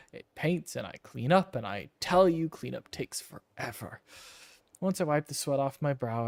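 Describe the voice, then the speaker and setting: A man's voice: medium pitch, no room sound. A man reading game text aloud and commenting casually as he plays. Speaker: game streamer.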